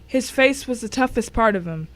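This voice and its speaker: A woman's voice: fairly high pitch, expressive, normal speed, lightly acted. A woman playing the grim first-person narrator of a crime thriller in a scripted dramatic reading. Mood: grim, tense.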